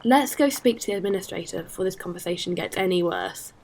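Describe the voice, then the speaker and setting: A woman's voice: on the low side, expressive, fast, creaky, a little hiss or hum, rough recording. A woman playing a supportive, practical starship captain in a science-fiction audio drama. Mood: friendly, practical. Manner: gentle, considerate.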